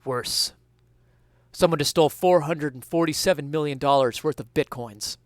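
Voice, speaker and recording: A grave police chief from a comic detective story, acted by a male reader. He talks in clipped, portentous phrases, with a slightly high voice in a dry recording. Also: normal speed, no room sound, close to an everyday voice.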